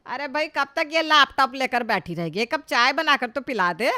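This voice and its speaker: A woman acts out an older village mother-in-law for an animated family story. Her voice is high and sharp, with a forceful edge. She nags and complains with heavy sarcasm, as a cartoon comedy scold. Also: normal speed. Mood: disgusted complaining.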